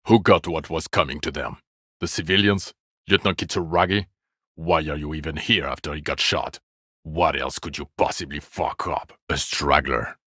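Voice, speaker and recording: An actor playing a bitter, exasperated police detective in a video game, sarcastic, scornful and quick to swear at his drunk partner. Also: very deep voice, sharp tone, husky, no room sound, clean studio sound, full character voice. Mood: angry, accusing.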